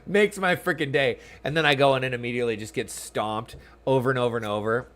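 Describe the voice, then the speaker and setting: An American man streams a video game from home, talking in a loose, rambling way. He pokes fun at himself and chats with his viewers in a medium-pitched voice. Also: fairly even intonation, quick pace, fairly bright tone. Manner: chatty, rambling, self-deprecating, reads chat aloud. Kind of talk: casual livestream chat over gameplay. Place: small room at home.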